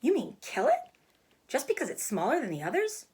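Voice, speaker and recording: A woman's voice: fairly high pitch, huge pitch swings, a little fast, darkish tone, husky, voice pushed up, full character voice. A woman reading a storybook as a distressed, defiant eight-year-old farm girl, pleading and indignant. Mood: shocked.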